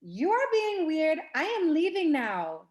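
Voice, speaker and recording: A woman's voice: sits high, big pitch swings, slow pace, darkish tone, heavily acted. A woman acting a blunt teenage schoolgirl, questioning and easily baffled, in a scripted read. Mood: baffled, annoyed.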